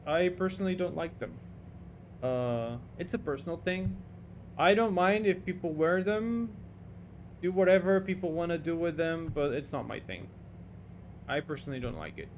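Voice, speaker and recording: A man's voice: on the high side, lively intonation, moderate pace, long pauses, a little hiss or hum. A man from Portugal chats casually and easygoingly on a livestream, in an informal, self-qualifying way. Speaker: live streamer playing a train simulator.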